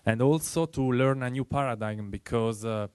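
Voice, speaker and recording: A man with an Italian accent presenting at a tech meetup, speaking earnestly and haltingly with many fillers. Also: mid-range voice, darkish tone, clean tone, dry recording.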